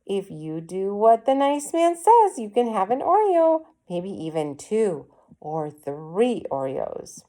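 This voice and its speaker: A woman's voice: medium pitch, big pitch swings, takes it slowly, dark tone. A woman voicing a coaxing young lady in a storybook, talking to a child in a sing-song, persuading way.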